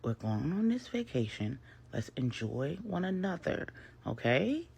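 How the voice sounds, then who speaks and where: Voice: medium pitch, very animated, a little slow, creaky, a little mellow, slight background noise. A storyteller voicing an evasive, curt cheating husband in a serial drama story.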